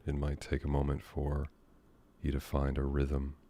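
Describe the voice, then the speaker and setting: Very deep, soft American male meditation guide. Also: completely flat delivery, a little hiss or hum. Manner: gentle, unhurried, with long pauses. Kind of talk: guided meditation, soothing and unscripted.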